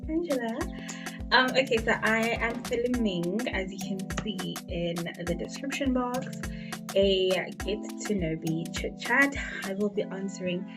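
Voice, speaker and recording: A woman's voice: mid-range voice, a little slow, music in the background. A woman in her twenties with a South African accent, chatting casually to her viewers with plenty of fillers. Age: 20s. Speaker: beauty vlogger. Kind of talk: casual get-to-know-me chat.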